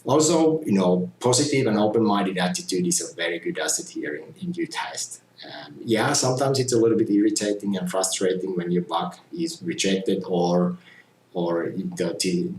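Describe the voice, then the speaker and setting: A middle-aged man from Finland, giving a measured, earnest testimonial about his community testing and often saying "you know". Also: low voice, darkish tone, slight background noise. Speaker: community tester. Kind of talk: casual testimonial talk. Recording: roomy echo with faint ambience.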